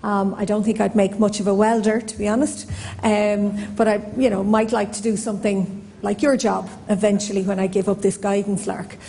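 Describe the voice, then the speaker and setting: Mellow-voiced woman on a panel. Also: mid-range voice, level tone, moderate pace, vocal fry, a little hiss or hum. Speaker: panelist, guidance professional. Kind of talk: explaining on a conference panel. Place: indoor conference hall stage.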